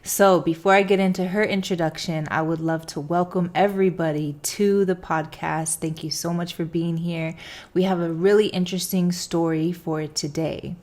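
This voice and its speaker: A woman's voice: fairly low pitch, fairly even intonation, moderate pace, a little mellow. A young woman hosting a podcast from her living room. She opens the show and interviews her guest with upbeat enthusiasm. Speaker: podcast host.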